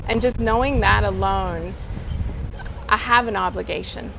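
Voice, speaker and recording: A woman's voice: mid-range voice, a little slow, creaky. A woman who is a superyacht captain, chatting earnestly and enthusiastically in an interview outdoors at a boat show, often repeating words for emphasis. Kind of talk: casual interview chat. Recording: noisy outdoor recording.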